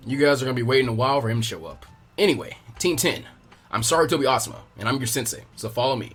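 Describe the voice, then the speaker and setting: A man performs a relaxed adult ninja teacher for a storybook reading, pitched well down from his own voice. He sounds wry and unhurried, a little husky, with music underneath. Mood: amused, casual. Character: laid-back bearded adult male ninja instructor, wryly amused.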